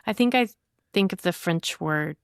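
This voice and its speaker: A woman with a low-pitched voice speaks as a guest on a poetry program. She moves between conversational talk and reading verse, in a very dry recording with little room sound. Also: animated, moderate pace, vocal fry, clean tone, dry recording. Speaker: guest poet. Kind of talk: literary conversation with poem reading.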